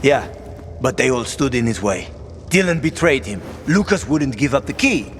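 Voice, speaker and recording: A man's voice: medium pitch, big pitch swings, normal speed, slight background noise, close to an everyday voice. A male actor playing a bitter, cornered betrayer in a dramatic video game, world-weary and defensive as he justifies himself. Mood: grim, resigned. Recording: game music behind the voice.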